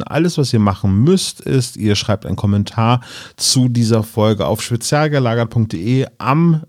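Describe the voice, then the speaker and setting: A man's voice: medium pitch, a little mellow, no echo. Man hosting a casual podcast, dry close sound. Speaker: podcast host.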